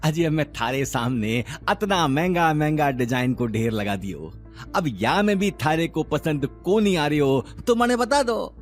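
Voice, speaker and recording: Man playing a grumbling Rajasthani shopkeeper, higher-pitched. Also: big pitch swings, measured pace, soft background music. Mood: grumbling sales talk. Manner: folksy, wheedling, grumbling dialect speech.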